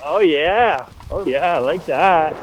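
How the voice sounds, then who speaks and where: Man, fairly high voice, casual short chat, helmet intercom mic, outdoors. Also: slow, highs cut off, slight background noise. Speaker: skiing companion. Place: outdoors on a ski mountain. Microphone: helmet intercom headset.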